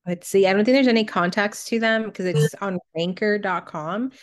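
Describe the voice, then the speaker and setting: A woman's voice: medium pitch, normal speed. A woman co-hosting a podcast, chatting casually and reacting her way through a countdown list. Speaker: podcast co-host.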